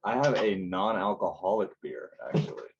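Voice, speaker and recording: A man's voice: deep voice, normal speed, highs cut off, background noise. A young man and band vocalist chatting casually on a podcast, rambling and laughing easily. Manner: relaxed, rambling, laughs often.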